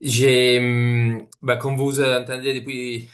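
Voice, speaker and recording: A man's voice: medium pitch, level tone, slow. A man with an Italian accent, a native Italian speaker presenting as guest speaker on a video call, often searching for words and restarting phrases. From Italy. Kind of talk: webinar presentation.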